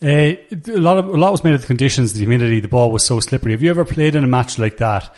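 A man hosts a sports podcast and asks long, chatty questions. He talks fast, at a middle pitch. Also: animated, a little mellow. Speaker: podcast host. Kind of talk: podcast hosting and interviewing.

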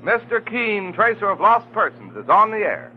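A man's voice: high-pitched, big pitch swings, normal speed. A man announcing a 1940s radio program in a scripted, formal and theatrical style. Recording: dull 1940s radio recording. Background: music.

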